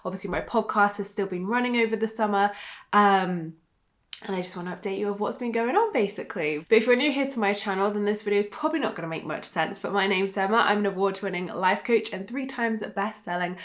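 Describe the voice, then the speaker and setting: A thirty-year-old woman talking straight to her audience in a relaxed, bubbly vlog chat. Her voice sits in a middle pitch and carries a slight nasal edge from a cold she is getting over. She fills her sentences with easy "like"s and "um"s. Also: fast. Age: 30s. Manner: chatty and bubbly, many fillers like "like" and "um". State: recovering from a cold. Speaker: life coach and YouTuber. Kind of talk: casual vlog chat to camera.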